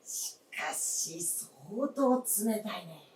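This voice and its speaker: An actor playing an easygoing husband in a staged radio drama, talking in a measured, casually authoritative way. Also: male voice, high-pitched, animated, slight background noise, heavily acted. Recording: echoey stage, distant microphone.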